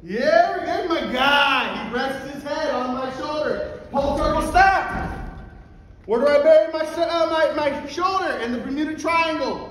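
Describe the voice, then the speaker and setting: High-voiced man coaching in an echoey hall. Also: a little slow, slight background noise. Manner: energetic, brash, rhetorical questions, drills terms by repetition; moving and grappling while talking. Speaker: wrestling clinician. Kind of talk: live coaching demonstration. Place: stage in a large indoor convention hall. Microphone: far camera across the room.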